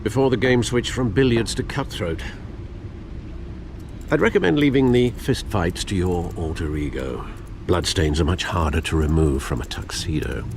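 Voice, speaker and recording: A man's voice: low voice, moderate pace, creaky, noisy background. An actor playing a wry, formal older butler with fatherly concern in a video game. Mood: dry, reproachful.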